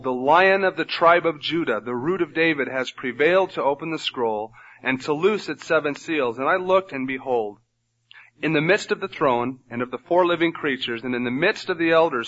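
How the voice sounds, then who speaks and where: A man's voice: on the high side, fairly bright tone, lo-fi sound. A man with a nasal voice leading a Bible study in a church room, praying and then teaching in an earnest, conversational way. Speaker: pastor. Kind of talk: Bible teaching sermon with prayer.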